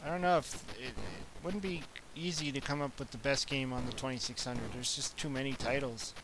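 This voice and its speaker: A man's voice: medium pitch, fairly even intonation, a little fast, background noise. A Canadian man with a Canadian accent, hosting a retro gaming stream in casual, rambling chat. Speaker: retro gaming channel host.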